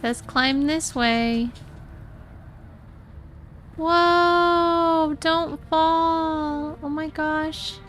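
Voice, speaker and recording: Unhurried, high-voiced American woman streaming. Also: fairly even intonation, a bit bright, faint music behind. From Florida, USA. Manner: chatty, greets and thanks viewers. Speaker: live game streamer and host. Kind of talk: casual live gameplay commentary, reading chat aloud.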